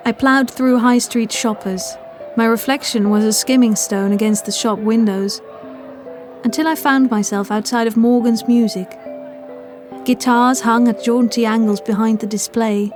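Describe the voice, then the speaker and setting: A woman with a fairly high voice reads first-person horror fiction as a story narrator. Music runs underneath her scripted narration. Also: steady tone, normal speed, a little mellow.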